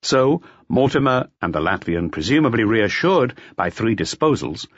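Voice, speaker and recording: A man reading a mystery novel as an audiobook narrator in an expressive, scripted style. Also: on the low side, normal speed, breathy edge, dry recording. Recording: slightly dull, lo-fi audio.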